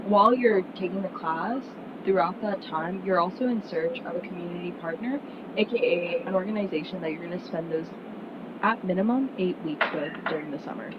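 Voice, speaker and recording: A young woman speaks to a room of prospective students in an earnest, chatty way, leaning on "like" and "um" as she goes. Her voice sits a little low for a woman. The recording is muffled, with a clear steady rumble underneath. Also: a little fast. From Miami, United States. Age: young adult. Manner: earnest, conversational, frequent "like" and "um" fillers. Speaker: student speaker at an information session. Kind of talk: casual presentation to prospective students.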